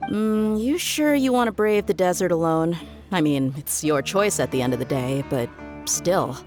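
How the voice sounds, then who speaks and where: Woman voicing a cool laid-back game character, lowish voice, bit skeptical, music behind. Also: expressive, moderate pace, darkish tone, natural voice. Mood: doubtful. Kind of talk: animated video game voice acting.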